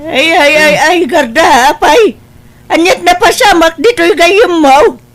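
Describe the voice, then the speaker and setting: Casting: actress as fretful, exasperated Ilocano mother for comedy radio drama; high, unhurried, wailing delivery. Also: animated. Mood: alarmed, wailing. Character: exasperated, fussing Ilocano mother of a drinking son.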